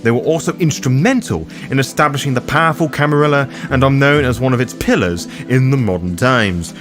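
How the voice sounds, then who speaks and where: A man's voice: mid-range voice, a little fast. A man hosting a scripted guide, narrating in a theatrical, playful way with wry asides. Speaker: host of a roleplaying lore guide series. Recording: music behind the voice. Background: background music.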